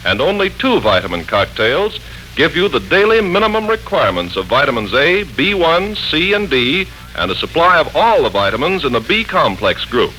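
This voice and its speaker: A man's voice: on the high side, very animated, moderate pace, bright, forceful tone, low-quality recording. An American man announcing in 1940s radio style, polished, persuasive and emphatic. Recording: old 1940s radio broadcast recording.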